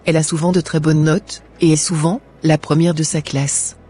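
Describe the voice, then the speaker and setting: A man voicing a kind, trusting, pious mother in an animated moral drama, with polite scripted phrasing. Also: on the high side, normal speed, breathy edge. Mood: polite, friendly. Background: faint music.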